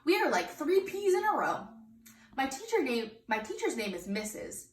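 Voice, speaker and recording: A woman's voice: sits high, sing-song, moderate pace. A woman reading a children's book aloud expressively, with emphatic delivery aimed directly at young listeners. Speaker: storybook reader for children. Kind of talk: expressive read-aloud narration. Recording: echoey room, distant mic, faint noise.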